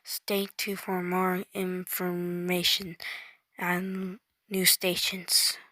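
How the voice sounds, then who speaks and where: A woman's voice: on the low side, completely flat delivery, drawn-out words, bright, forceful tone. A woman reading scripted emergency broadcast announcements in a formal, stilted manner. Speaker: emergency alert announcer and news anchor.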